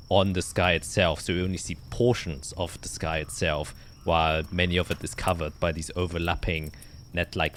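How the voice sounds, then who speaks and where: A man improvising narration as game master of a tabletop fantasy game, often pausing to search for his words. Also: low-pitched, a bit bright, a little hiss or hum.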